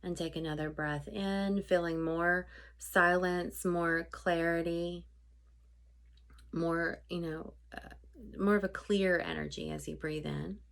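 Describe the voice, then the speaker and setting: Woman w a lowish voice doing guided meditation, unscripted and chatty, halting phrases that kinda trail off soft. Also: steady tone, gravelly.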